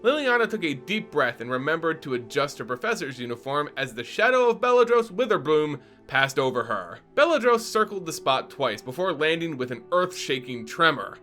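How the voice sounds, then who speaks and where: A man narrates a fantasy story with theatrical, playful flair. His voice is bright and lively, rising and falling a lot, with soft music playing underneath. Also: on the high side, moderate pace, hardly any pauses. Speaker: channel host and narrator. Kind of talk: dramatic story reading.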